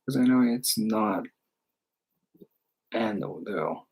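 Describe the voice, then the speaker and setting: Husky-voiced man explaining slowly. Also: fairly low pitch, darkish tone. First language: Japanese. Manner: halting, thinking aloud with many fillers. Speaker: English teacher. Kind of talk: livestream Q&A.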